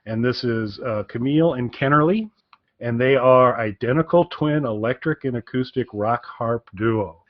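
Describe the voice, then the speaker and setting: Male podcast co-host, mid-pitched, halting and modest, casual chat, close dry sound with slightly muffled fidelity. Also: dry recording.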